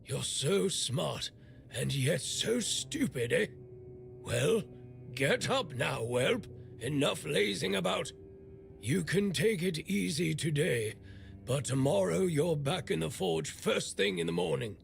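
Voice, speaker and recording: An actor playing a gruff, irreverent old smith in a video game, with a gravelly voice and a blunt, sardonic way of talking. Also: male voice, fairly high pitch, animated, slow, soft background music, heavily acted. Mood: gruff scolding. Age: older. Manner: blunt, foul-mouthed, sardonic. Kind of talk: video game character dialogue.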